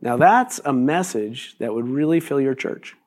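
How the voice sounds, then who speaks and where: A man in his fifties, a pastor, preaching a sermon in a loose, conversational way, his voice a little raspy today, with many fillers and restarts. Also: mid-range voice, lively intonation, normal speed, darkish tone. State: raspy voice this morning.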